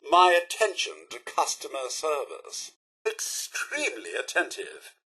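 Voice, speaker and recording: Swooping, theatrical British robot butler. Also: male voice, a little high, sing-song, unhurried, pushed and bright, breathy edge, heavily acted. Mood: snooty, polite. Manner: pompous, exaggeratedly polite butler diction. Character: prim, dapper male robot butler, loyal and fussy. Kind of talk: theatrical video game character acting.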